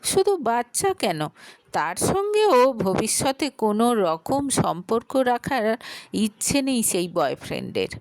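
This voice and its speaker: High, lively woman storyteller, slow pace. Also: dry recording. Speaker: story narrator. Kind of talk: dramatic scripted narration of a short story.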